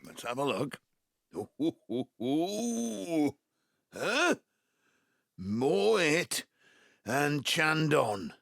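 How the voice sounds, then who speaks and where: A man's voice: on the high side, sing-song, very slow, vocal fry, pauses often, a bit bright, dry recording, exaggerated voice. An actor playing a folksy, jovial Welsh widower in his seventies from the Valleys, rambling and chummy, in an audio drama. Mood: jovial, flustered. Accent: South Wales Valleys Welsh. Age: 70s. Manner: rambling, chummy, groping for words. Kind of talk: naturalistic audio drama acting.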